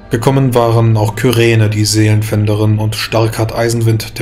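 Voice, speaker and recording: Solemn male storyteller, very even tone. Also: medium pitch. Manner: measured, solemn. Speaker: storyteller, game master. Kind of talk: scripted dramatic fantasy narration.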